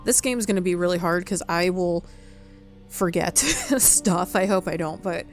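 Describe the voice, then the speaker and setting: A woman's voice: on the low side, a little slow. A woman hosting a gaming channel, giving casual let's-play commentary as she plays. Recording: faint music behind the voice.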